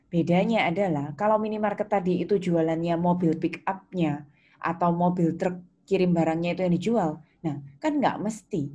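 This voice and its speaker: A woman's voice: fairly low pitch, moderate pace, dark tone, highs cut off. A woman with an Indonesian accent lecturing informally to students, explaining conversationally and checking understanding with questions. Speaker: accounting lecturer.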